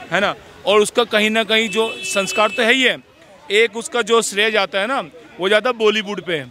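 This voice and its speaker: A man's voice: sits high, pushed and bright. A man giving his opinions in a street interview, preachy and constantly checking in with short rhetorical questions.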